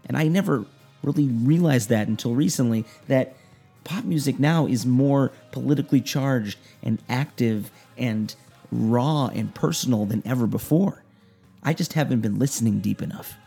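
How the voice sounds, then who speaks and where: Radio host guy, gravelly and warm, chatty and playful, bit of music under him. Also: medium pitch, moderate pace. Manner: enthusiastic, conversational, playful asides. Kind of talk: scripted intro and interview.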